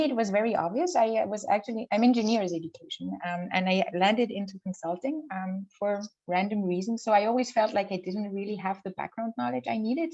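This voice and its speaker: A woman's voice: medium pitch, mellow, lo-fi sound. A woman from Spain, an alumni panelist, explaining her path earnestly over a video call.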